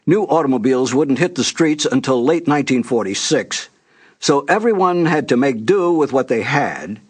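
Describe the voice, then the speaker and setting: An older man hosting with a scripted, nostalgic introduction, telling it in a measured way with deliberate emphasis. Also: mid-range voice. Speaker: announcer and host.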